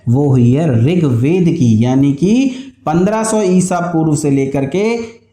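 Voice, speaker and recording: A high-voiced Indian man teaches an exam-prep class in a lecturing style. He is didactic, restating key points so that they can be memorised. His voice carries a noticeable roomy echo. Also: lively intonation, slow, dark tone. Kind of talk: lecture explaining exam questions.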